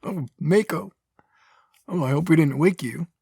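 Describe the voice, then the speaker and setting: A man playing a poised, courteous Sith noble in an audio drama, speaking in a formal, measured and reassuring way. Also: fairly high pitch, creaky, a little mellow, breathy edge, dry recording, clean studio sound, heavily acted. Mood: warm, courteous.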